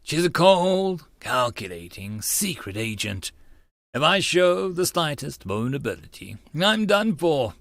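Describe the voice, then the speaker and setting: A young self-styled mad scientist, played by a man reading aloud, paranoid and grandiose. His pitch leaps around dramatically, as in a theatrical visual novel reading, with very little room sound. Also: very animated, measured pace, vocal fry, a bit bright, no room sound, clean studio sound, exaggerated voice. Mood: suspicious. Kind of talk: visual novel audiobook character acting.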